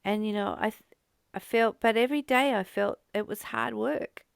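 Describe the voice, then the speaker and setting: A woman giving a contemplative spiritual reading with informal personal commentary, pausing and repeating phrases as she goes. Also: a little high, gravelly, clean tone, no echo, studio quality. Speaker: host of a spiritual reading and study series.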